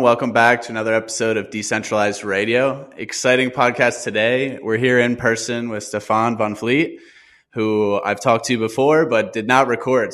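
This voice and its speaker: Male podcast host, fairly low pitch, casual conversational delivery. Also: fairly bright tone.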